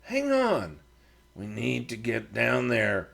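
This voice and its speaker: A man's voice: medium pitch, huge pitch swings, slow pace, vocal fry, a bit bright, heavily acted. A man voicing a fussy, talkative frontier man who gets defensive when he argues with his partner.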